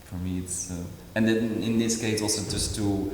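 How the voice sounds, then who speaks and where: Deep-voiced halting man in an echoey hall. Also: steady tone, a little mellow. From Indonesia. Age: 40s. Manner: halting, many fillers and restarts. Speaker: artist. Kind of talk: interview. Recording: echoey hall with faint noise.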